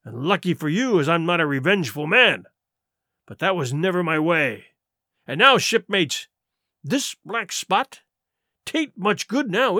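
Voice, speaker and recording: A man's voice: on the high side, bright, forceful tone, no room sound, studio quality, heavily acted. A man voicing a sly, domineering pirate sea cook in an audiobook, with blustering, derisive, rustic seafaring talk. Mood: smug, wily. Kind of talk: audiobook character voice.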